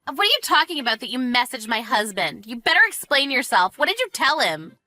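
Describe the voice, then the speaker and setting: A woman voicing a spiteful, greedy sister-in-law with a shrill, whiny manner in a narrated family drama. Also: very animated, fairly quick, sharp tone, dry recording, faint music behind, exaggerated voice. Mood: indignant, alarmed. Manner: shrill, indignant, pleading.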